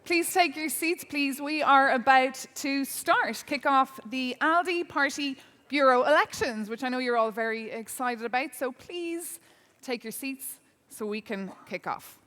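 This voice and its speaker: An Irish woman moderating a conference debate, upbeat and welcoming, giving brisk instructions to the audience. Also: high voice, a bit bright. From Ireland. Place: large conference hall. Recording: reverberant conference hall.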